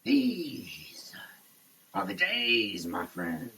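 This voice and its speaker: A performer giving a halting, theatrical, declamatory dramatic reading in a running shower. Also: mid-range voice, wildly swooping pitch, vocal fry, sharp tone, slightly rough. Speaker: solo sketch performer. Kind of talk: dramatic reading of a product label. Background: running water.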